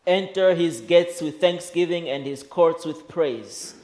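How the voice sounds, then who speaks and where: Malawian-born pastor leading a church service. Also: male voice, fairly high pitch, expressive. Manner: warm, earnest, halting with fillers. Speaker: guest pastor.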